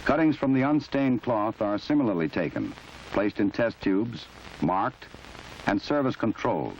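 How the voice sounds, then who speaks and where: Male narrator, low register, measured and exact instructional read, dated film audio with faint background noise. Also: very animated. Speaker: narrator of a forensic training film. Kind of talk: formal scripted documentary narration. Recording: muffled, noisy old 1970s film soundtrack.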